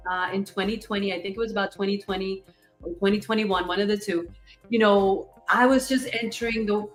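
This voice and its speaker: A woman's voice: medium pitch, reverberant. A woman hosting a livestream interview, effusive and upbeat, asking a string of rhetorical questions. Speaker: podcast host.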